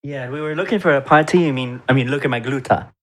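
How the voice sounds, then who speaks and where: Guy at a tabletop rpg, medium pitch, casual banter in and out of character. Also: quick pace, fairly bright tone. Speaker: tabletop game player.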